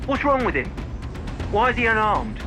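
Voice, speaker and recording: Brash game soldier, really high swooping voice, barking, music behind. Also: male voice, very animated, normal speed. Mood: brisk, scornful. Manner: loud, barking, clipped. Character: brash, battle-hardened male soldier barking orders. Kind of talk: video game cutscene acting.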